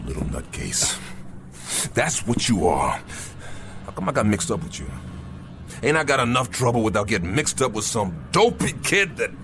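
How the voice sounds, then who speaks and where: An actor playing a weary, bitter middle-aged boxer in vintage television drama, speaking in a folksy, impassioned way. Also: male voice, medium pitch, sing-song, moderate pace, long pauses. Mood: broken, despairing. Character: battered, weary, bitter boxer, a disillusioned older man. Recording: vintage television soundtrack with music.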